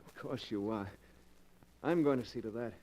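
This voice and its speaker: An actor playing a nervous, guilt-ridden middle-aged husband in an old-time radio drama, stammering and pleading. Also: male voice, medium pitch, normal speed, darkish tone, close to an everyday voice. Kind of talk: 1940s radio acting.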